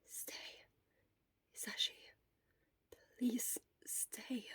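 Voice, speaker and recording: A woman's voice: drawn-out words, a bit bright, whispered. Woman acting a faint, weary, sick teenage boy. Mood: pleading, vulnerable. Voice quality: thin, faint, fading. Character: weary, sick, grumbling fifteen-year-old boy.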